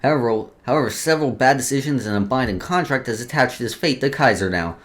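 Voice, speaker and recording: A man's voice: medium pitch, animated, fast. A man hosting a let's play, reading game lore aloud with casual asides and often stumbling over words before restarting.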